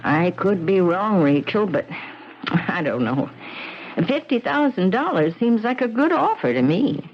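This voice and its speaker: Guilt-torn lady crook, anguished halting delivery, defiant, dull 1970s radio drama sound, faint noise. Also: mid-range voice, big pitch swings. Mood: uneasy, hesitant. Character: guilt-torn female swindler and killer, defiant accomplice. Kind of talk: 1970s radio mystery acting.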